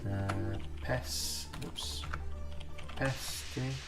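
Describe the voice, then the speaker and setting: A man's voice: fairly low pitch, drawn-out words. Man chatting on stream. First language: Greek. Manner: chatty, thinks aloud while working, chats with viewers. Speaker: live streamer drawing pixel art. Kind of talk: casual live-stream chat while drawing. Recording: distant mic with music playing. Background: background music and keyboard typing.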